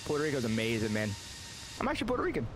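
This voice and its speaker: A man's voice: medium pitch, very fast, music in the background, poor audio. A man streaming a flight simulator, chatting casually and rambling with lots of fillers. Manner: rambling, filler-heavy, excitable. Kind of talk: casual gameplay commentary.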